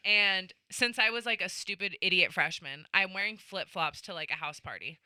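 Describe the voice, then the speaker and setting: Bright, crisp young woman telling a story. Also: on the low side, moderate pace, no echo. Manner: animated, swearing, heavy use of "like". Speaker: podcast guest. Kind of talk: casual storytelling.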